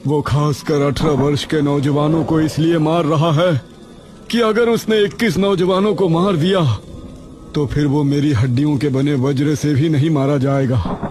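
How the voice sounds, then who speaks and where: Guy playing loyal ghost sorcerer in a cartoon tale, solemn n respectful, slightly high voice, music clearly behind. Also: fairly even intonation, moderate pace, a little mellow, lightly acted. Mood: earnest, explaining. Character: ghost of male tantric sorcerer, deferential loyal servant. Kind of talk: animated fantasy story dialogue.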